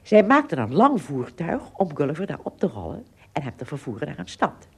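A woman narrating a scripted children's story expressively, with dramatic pauses and little asides. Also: lowish voice, a little mellow, slightly rough.

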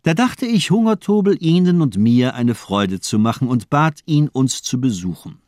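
An actor as a cold, menacing male doctor in a radio crime play. He speaks at a middle pitch in polished, mocking monologues, elegant and deliberate. The sound is very dry and close. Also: expressive, darkish tone, no echo, natural voice. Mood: coldly amused. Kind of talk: German radio crime drama acting.

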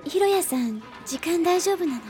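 A woman playing an anxious, earnest young girl in a soft, girlish manner, in a Japanese radio drama. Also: very high voice, expressive, moderate pace, soft background music. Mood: earnest, troubled.

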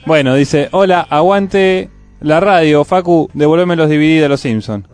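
A man's voice: a little high, a little slow, a little mellow, faint music behind. A man with an Argentine accent co-hosting a community radio show in a casual, chatty style. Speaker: radio co-host.

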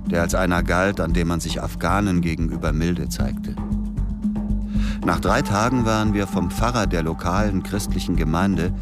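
An actor playing a tough Western operative who narrates his search in a radio play, sober and measured. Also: sits low, close to an everyday voice. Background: loud music.

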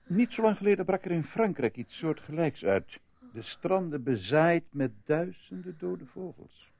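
An actor in a radio drama playing a calm, probing male questioner who speaks in a measured, formal way. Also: fairly high pitch, creaky, heavily acted. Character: calm, probing male questioner, smoothly steering a witness's story. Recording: tinny, phone-like band, dry sound.

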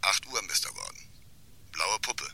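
An actor playing a cool, calculating blackmailer, terse and slangy, in a German radio crime drama. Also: male voice, low-pitched, wildly swooping pitch, normal speed, gravelly, pushed and bright, slight background noise, heavily acted. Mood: guarded. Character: shady male blackmailer on the telephone, cool and calculating.

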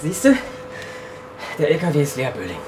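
A man's voice: a little high, huge pitch swings, normal speed, very noisy. An actor in a radio drama playing a pushy, defiant crooked businessman.

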